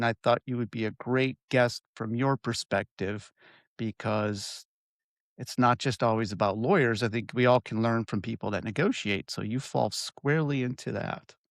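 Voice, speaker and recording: A man hosting a mediation podcast, asking questions in a friendly, measured interview manner. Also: medium pitch, dry recording, clean studio sound. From Florida.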